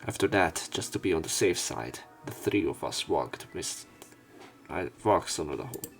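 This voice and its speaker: Male let's play narrator: low pitch, story-reading delivery, faint music behind. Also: lively intonation, normal speed, gravelly. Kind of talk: reading visual novel script aloud, dramatic narration. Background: faint game music.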